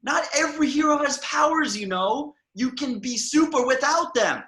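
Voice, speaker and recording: A man playing an eager, pushy, whiny boy superhero fan, excitable and pleading, reading from a script in an echoey room. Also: extremely high pitch, moderate pace, full character voice. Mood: indignant, insistent. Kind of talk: online table read of a film script.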